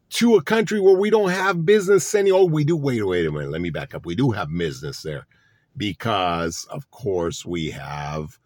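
A man's voice: low-pitched, no room sound, studio quality. A man delivering a political monologue to his audience, sarcastic and full of rhetorical questions. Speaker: political commentator.

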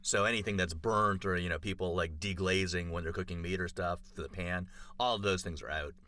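Low bright-voiced man telling a rambling story. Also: fairly even intonation, brisk. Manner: rambling storyteller, many fillers and restarts. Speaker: podcast co-host. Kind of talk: casual chat.

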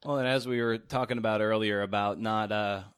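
Lowish-voiced man hosting a podcast. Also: fairly even intonation, fairly quick, fairly bright tone, clear, resonant tone, dry recording, studio quality. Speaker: podcast host, interviewer.

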